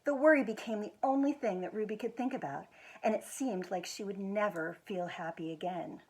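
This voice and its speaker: An American woman reads a picture book aloud to children. Her voice sits at an ordinary pitch for a woman and has a husky, slightly rough edge. She is expressive and leans on key words. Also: poor audio. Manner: expressive, with drawn-out emphasis and quiet asides to show pictures. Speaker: executive director of a schools foundation, guest reader.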